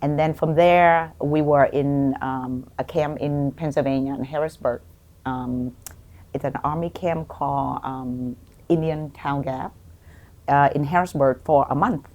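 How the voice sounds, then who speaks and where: Podcast guest: middle-aged woman, low register, Vietnamese accent, halting personal storytelling. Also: fairly even intonation, a little mellow. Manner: halting, with many pauses. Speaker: guest, doctor.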